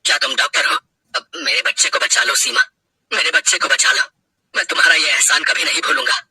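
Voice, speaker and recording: A vengeful bandit, acted by a man, with a very forceful, sharp edge and a heavily rasping voice. He speaks with bitter menace in dramatic story style, close and dry. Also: a little high, big pitch swings, fairly quick, no echo, full character voice. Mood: desperate, pleading. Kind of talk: dramatic Hindi audio story acting.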